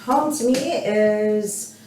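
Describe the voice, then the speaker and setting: An American woman talks casually as a panel guest, hesitant and reflective. Her voice is average in pitch. She is a little distant from the mic, with plenty of room echo. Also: very slow, a little mellow, slight background noise. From United States. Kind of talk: casual chat.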